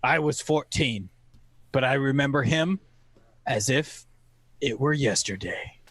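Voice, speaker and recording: A man playing a grown adventurer who recalls his boyhood as formal, measured radio-play narration. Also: mid-range voice, a bit bright, dry recording. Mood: solemn, reminiscent.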